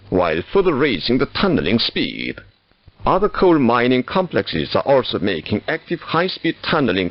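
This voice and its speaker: Guy reading state radio news, slow and formal, big swoopy pitch, muffled shortwave sound. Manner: slow, measured delivery with stately emphasis.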